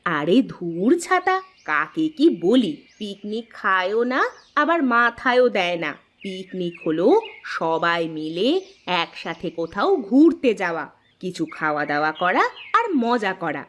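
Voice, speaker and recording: A woman's voice: lowish voice, big pitch swings, measured pace, fairly bright tone. A woman voicing a gossipy, teasing village friend in a cartoon tale. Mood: gossipy, impatient. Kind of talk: animated cartoon dialogue in Bengali.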